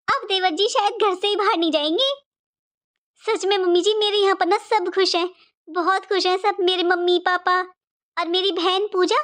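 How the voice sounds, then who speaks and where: A woman's voice: very high voice, fairly bright tone. A woman voicing a devoted, respectful young sister-in-law in a cartoon family drama, speaking politely and emphatically with repeated phrases.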